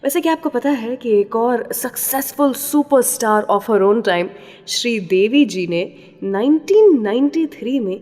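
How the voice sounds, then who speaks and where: A woman's voice: on the high side, a little mellow. A woman hosting a radio show, reading scripted narration and mixing English phrases into her talk. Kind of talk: scripted radio narration about classic films. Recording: long reverb, faint music behind.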